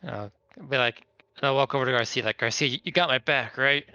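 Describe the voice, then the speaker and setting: A man describing his character's actions as a tabletop player, informal and a little halting. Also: medium pitch, pushed and bright, clean tone, no room sound. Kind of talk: casual in-game roleplay and description. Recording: dull, slightly lo-fi close microphone.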